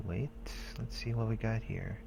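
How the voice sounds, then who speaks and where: A man's voice: lowish voice, steady tone, moderate pace, muffled recording, background noise. A man hosting a let's play, reading game narration aloud and adding casual commentary. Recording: clear game music behind the voice. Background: visual novel music.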